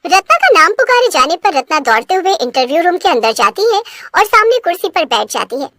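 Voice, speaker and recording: A woman's voice: very high-pitched, very animated, fairly quick, a bit bright, no echo. A woman reading scripted narration for a cartoon story.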